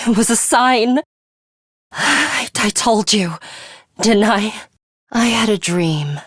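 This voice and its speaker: A breathy, haughty, slow-speaking young woman. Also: medium pitch, no room sound, clean studio sound. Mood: anguished, resigned. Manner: haughty, deliberate, breathy delivery with hushed drops. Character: proud, imperious young woman, fatalistic but secretly caring. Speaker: video game voice actress. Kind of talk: dramatic video game voice acting.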